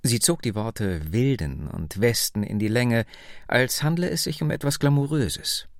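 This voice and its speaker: Male audiobook narrator for literary first-person prose: unhurried, expressive, widely varied intonation, intimate dry sound. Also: medium pitch, dry recording.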